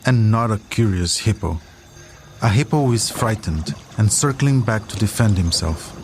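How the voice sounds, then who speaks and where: A man's voice: on the low side, lively intonation, normal speed, over music. A man delivering scripted documentary narration as a podcast narrator, telling a story in composed sentences.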